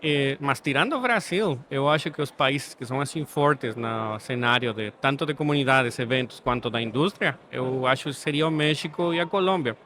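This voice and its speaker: Guatemalan man, measured and thoughtful. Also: mid-range voice, a bit bright, slight background noise. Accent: Guatemalan Spanish. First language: Spanish. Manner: thoughtful, measured, ends points with "sabe?". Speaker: guest. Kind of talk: podcast interview. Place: studio setting.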